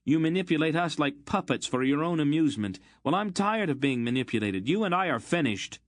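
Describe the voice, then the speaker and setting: A man's voice: mid-range voice, big pitch swings, a little fast, slightly rough, dry recording, voice pushed up. A man doing a furious hot-headed youth. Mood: enraged. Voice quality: shouting, strained. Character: angry young man, hot-headed and defiant.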